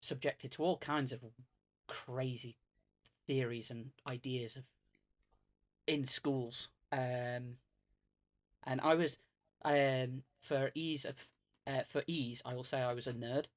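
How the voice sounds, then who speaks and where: A man's voice: mid-range voice, fairly even intonation, slow, long pauses. Man, late twenties, casual podcast guest, rambling, self-deprecating, filler-heavy. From Greater Manchester, England. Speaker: streamer guest.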